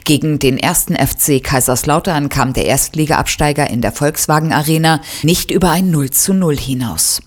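A woman reading scripted radio news and the weather in a crisp, even way. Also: sits low, normal speed, few pauses. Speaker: newsreader.

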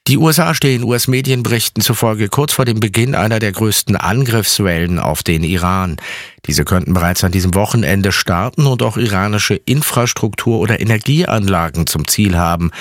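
A man reading a radio news bulletin and weather forecast in an even, formal manner. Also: fairly low pitch, normal speed, dry recording, clean studio sound. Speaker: news anchor. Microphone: studio microphone.

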